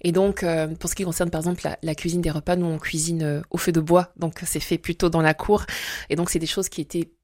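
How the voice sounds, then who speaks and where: A woman in her forties from Congo-Brazzaville, a guest telling her faith story in an interview and pausing often with fillers and restarts. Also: on the low side, little pitch movement, a little mellow, dry recording, studio quality. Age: 40s. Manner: hesitant, many fillers and restarts. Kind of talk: testimony interview.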